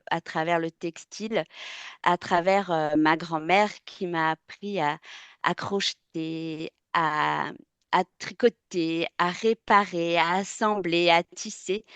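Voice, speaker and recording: A woman from France, a textile artist giving a webinar presentation, speaking thoughtfully and often pausing with fillers and restarting words. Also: medium pitch, clean tone, no room sound.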